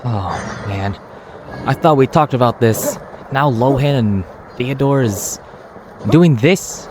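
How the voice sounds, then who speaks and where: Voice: mid-range voice, expressive, slow pace. A person reacting casually while playing a video game. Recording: clear background noise.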